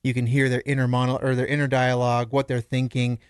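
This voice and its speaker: Male co-host, clean resonant mid-range voice, dry studio sound, measured explainer in relaxed conversation. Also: medium pitch, clean tone, dry recording. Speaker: podcast co-host. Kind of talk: casual discussion.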